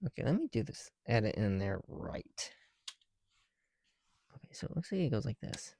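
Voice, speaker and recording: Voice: mid-range voice, very animated, moderate pace, creaky, mellow, slight background noise. A person talking casually to themselves in a rambling ASMR style while working with their hands, informal and prone to swearing. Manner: informal, muttering to self, sweary. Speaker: ASMR video creator.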